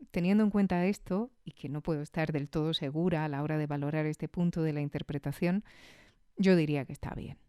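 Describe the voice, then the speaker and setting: A woman's voice: low-pitched, mellow, smooth, dry recording, studio quality. A woman with an accent from Spain hosting a podcast, giving a casual, reflective spoken review with conversational tag questions.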